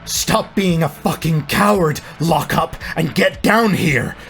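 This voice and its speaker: A man's voice: fairly high pitch, lively intonation, takes it slowly, vocal fry, a bit bright, soft background music, voice pushed up, full character voice. A man voicing a commanding, foul-mouthed woman fighter, urgent in battle, in a tabletop game. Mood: angry, shouting orders.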